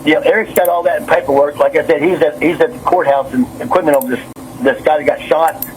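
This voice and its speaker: Man, slightly high voice, nervous, agitated, rambling, repetitive, noisy phone recording. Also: steady tone, fast talker. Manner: agitated, repetitive, stumbling over words. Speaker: city councilman and business owner on a phone call. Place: on a telephone.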